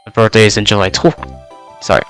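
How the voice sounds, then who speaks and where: A man's voice: fairly low pitch, flat delivery, fast, fairly bright tone, soft background music. A young man, a native Vietnamese speaker, gives a casual in-character self-introduction as a VTuber. He rambles with many filler words, and his voice is slightly worn out.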